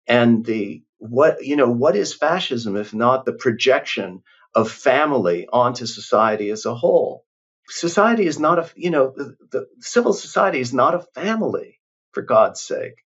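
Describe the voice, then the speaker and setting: A man's voice: mid-range voice, lively intonation, normal speed, husky. A man, a professor, giving reflective, emphatic interview answers with frequent restarts. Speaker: professor, guest. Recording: dull lo-fi sound.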